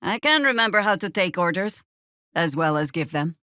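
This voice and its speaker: Weathered older druid fighter for a game, lowish voice, dry and sardonic, super close dry recording. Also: female voice, lively intonation, moderate pace, sharp tone, dry recording. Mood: wry, composed. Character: world-weary, wry older female druid warrior, battle-hardened. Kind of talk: video game character voice acting.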